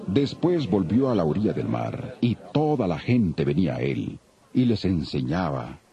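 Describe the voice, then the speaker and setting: A man's voice: sits low, very animated, unhurried, vocal fry, dark tone. A man narrating the Bible in a solemn, dramatic, scripted storytelling style. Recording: dull lo-fi, music behind.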